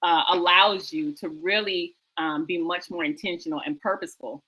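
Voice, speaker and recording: A woman's voice: fairly low pitch, fairly even intonation, moderate pace, pushed and bright, slightly rough, low-quality recording. A woman hosting a book talk show, speaking conversationally and enthusiastically in an emphatic, exhorting way that repeats phrases for effect.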